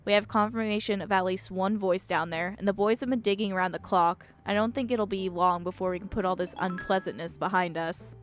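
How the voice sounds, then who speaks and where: A woman's voice: mid-range voice, fairly even intonation, a little fast, few pauses, faint music behind, natural voice. A woman voicing a stubborn, sarcastic woman who runs a mine, in a curt, dry manner, in a video game. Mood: weary, irritated.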